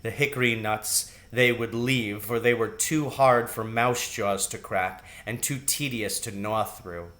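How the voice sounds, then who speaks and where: A man's voice: on the low side, fairly even intonation, a little slow, few pauses, fairly bright tone. A man reading a children's novel aloud as scripted storybook narration. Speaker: audiobook reader.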